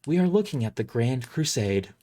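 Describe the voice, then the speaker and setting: A man voicing a cold, haunting godlike warship AI in an audio drama, speaking in a formal, deliberate and menacingly courteous way. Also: mid-range voice, very animated, warm tone, lightly acted. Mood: grave report.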